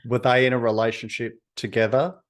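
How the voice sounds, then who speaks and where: A man interviewing a guest, gently prompting with short agreements. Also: medium pitch, level tone, fairly quick. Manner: gentle, encouraging, many mms. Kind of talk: oral history interview.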